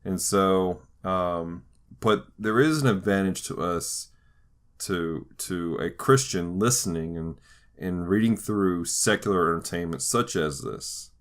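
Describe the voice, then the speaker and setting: A man hosting a conversational podcast, talking through ideas in a thoughtful, halting way with frequent restarts. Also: sits low, expressive. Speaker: podcast host. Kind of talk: conversational podcast discussion of faith and pop culture.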